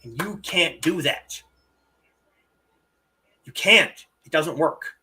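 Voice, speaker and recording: Animated middle-aged martial arts teacher. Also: medium pitch. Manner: animated, drawn-out demonstrations of word sounds. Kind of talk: casual live-stream chat answering viewer comments.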